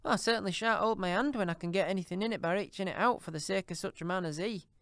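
A brazen, scheming old charwoman voiced by a man shrills and cackles through her defiant lines, pitched far above a natural speaking voice. The sound is close and dry, as in an audiobook booth. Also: fast, vocal fry, fairly bright tone, smooth, no room sound. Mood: mocking. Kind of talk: audiobook character reading.

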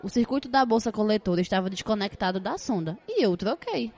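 A woman playing an animated, anxious nursing student. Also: medium pitch, a little fast. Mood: defensive. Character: hurried, anxious young female nursing student. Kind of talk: amateur audio drama acting.

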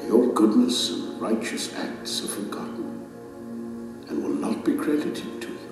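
A man's voice: medium pitch, unhurried, creaky. An actor playing a stern, sorrowful divine king passing judgment in an audio drama, with a solemn, declamatory delivery. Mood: grave judgment. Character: majestic divine king judging souls, stern, sorrowful, commanding. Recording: cavernous long reverb under dramatic music.

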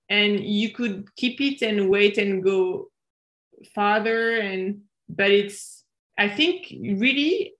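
A woman from France with a French accent, a planetary scientist answering interview questions in a thoughtful, hesitant way with many fillers. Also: medium pitch.

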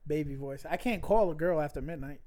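A man co-hosting a casual podcast, joking and laughing often, with a light, high voice. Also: a little fast, crowd noise. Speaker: podcast co-host. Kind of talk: casual chat.

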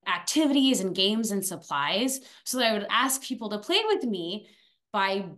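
A woman's voice: medium pitch, expressive, moderate pace, a bit bright. Chatty, upbeat young woman. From France, then Arizona. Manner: talkative, upbeat, goofy. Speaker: guest, alumna. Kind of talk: casual interview chat.

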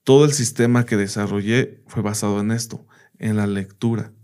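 A man's voice: lowish voice, steady tone, moderate pace, darkish tone, clear, resonant tone. A man whose first language is English, a teacher and podcast guest, telling anecdotes in a casual interview, often saying "bla, bla" and "¿me entiendes?".

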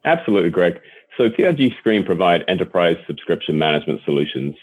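A man's voice: low-pitched, sing-song, fast talker, slightly rough, no echo. A man with an English accent, a guest executive answering interview questions, who often repeats words as he restarts his phrases. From England. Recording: tinny phone-line sound.

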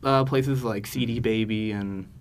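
A man chatting informally as a musician guest in a podcast interview, with a hesitant, halting delivery. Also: fairly low pitch, steady tone, slight background noise. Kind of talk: casual podcast interview chat.

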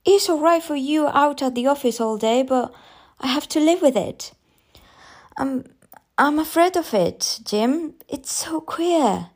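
Woman doing an anxious young mum in a storybook, tense, emotional, voice swooping up high. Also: sing-song, measured pace, a little mellow, exaggerated voice. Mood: distraught. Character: anxious, fretful young mother, nerves fraying.

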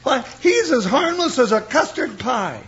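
An actor playing a blustery, comical cowboy sidekick in an old radio western, with a squawky folksy Western American drawl. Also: male voice, extremely high pitch, very animated, a little slow, fairly bright tone, exaggerated voice. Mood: carefree, scoffing. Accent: American West. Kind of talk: 1950s radio western acting. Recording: muffled old radio recording, faint noise.

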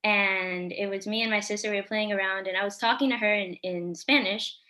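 A teenage girl co-hosts a podcast, chatting freely and filling her talk with "like." Her voice is bright and forward, at an everyday pitch. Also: fairly even intonation, fairly quick. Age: teens. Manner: chatty, lots of "like". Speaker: podcast co-host. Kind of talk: casual interview hosting.

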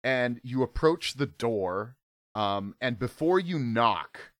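A hoarse man narrating a tabletop horror game as game master, in a relaxed, conversational way. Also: medium pitch, slow pace, no echo, studio quality. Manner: relaxed, conversational, filler-heavy.

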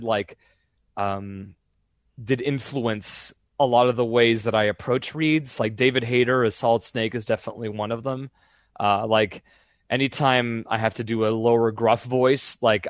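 A man's voice: medium pitch, no echo, clean studio sound. A young man in casual interview chat about voice acting, rambling with many fillers and restarts. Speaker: voice actor guest.

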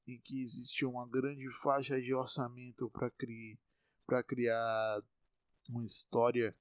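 A man's voice: medium pitch, little pitch movement, slow, smooth, no echo. Bahian man, twenties, loose rambling podcast monologue, profane and self-mocking, dry close sound. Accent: Bahian Brazilian Portuguese. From Salvador, Brazil. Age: 20s. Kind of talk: casual monologue.

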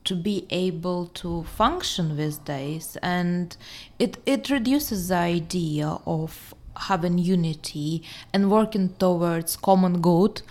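A woman's voice: on the low side, takes it slowly, a little mellow. A woman co-hosting a casual discussion podcast, talking through her opinions fluently with frequent "uh" fillers. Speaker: podcast co-host.

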